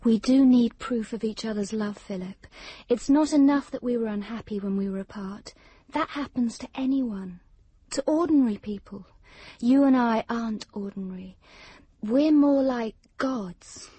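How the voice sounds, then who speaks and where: An intense young woman in love, possessive and solemn. Her voice sits fairly high yet mellow and husky, with a probing, grandiose way of talking suited to radio drama. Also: normal speed, no room sound. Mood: solemn, insistent.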